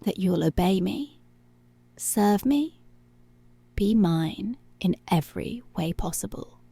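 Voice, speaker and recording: Woman acting a slow, crackly-voiced, seductive commanding policewoman. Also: mid-range voice, expressive, no echo, exaggerated voice. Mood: firm, possessive. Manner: slow, hushed, breathy, deliberate commands. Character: dominant, commanding, seductive policewoman, possessive yet tender. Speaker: ASMR voice actor. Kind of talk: intimate ASMR roleplay, half whispered. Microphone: close, dry microphone.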